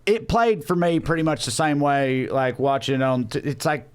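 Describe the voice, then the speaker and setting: A man's voice: fairly high pitch, normal speed, smooth. A man with a Southern US accent chatting casually and profanely as a podcast co-host, telling stories in a rambling, conversational way. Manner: rambling, conversational, swears freely. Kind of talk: casual profane chat and storytelling.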